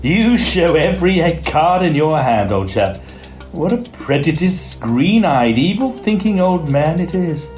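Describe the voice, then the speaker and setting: A man's voice: on the high side, sing-song, a little slow, darkish tone, soft background music. A man playing a genial, studious young Oxford student in a Victorian audio drama, with a British accent and polite, clipped period speech. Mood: dry, curious. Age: young adult.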